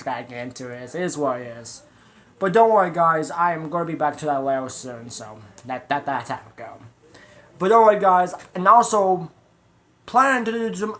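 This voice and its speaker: A man's voice: a little high, a bit bright. A man hosting a reaction video, chatting casually and directly to viewers in a rambling, repetitive way. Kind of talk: casual trailer reaction chat.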